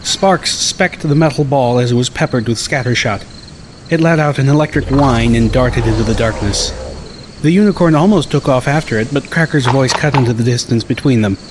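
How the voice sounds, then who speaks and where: A man's voice: medium pitch, normal speed, background noise. A man reading a first-person story as an audiobook narrator.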